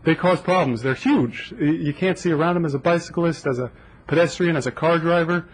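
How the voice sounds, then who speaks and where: Young man, husky voice, higher pitch, insistent and fact-driven, podium mic, council chamber. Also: fairly quick, rough recording. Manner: earnest, insistent, lists facts. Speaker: public commenter. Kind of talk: public comment at council meeting. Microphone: podium microphone.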